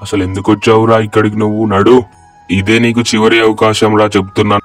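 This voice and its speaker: Man playing a low, flat-voiced village farmer. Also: fast, soft background music, close to an everyday voice. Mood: scolding. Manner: boastful, blunt, colloquial. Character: arrogant, scolding village farmer who later repents. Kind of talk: cartoon moral story dialogue.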